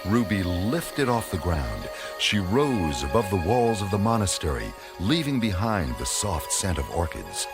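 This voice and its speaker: Deep, very animated male storyteller. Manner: storytelling, deliberate phrasing. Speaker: narrator. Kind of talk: scripted radio drama narration. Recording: loud music under the voice.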